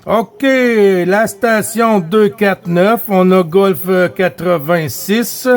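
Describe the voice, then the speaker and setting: High-voiced Québécois man on the radio. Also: soft background music. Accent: Québécois French. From Quebec. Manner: unhurried, chatty, frequent hesitations and tag words. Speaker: CB radio net operator. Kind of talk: casual radio chat running a net.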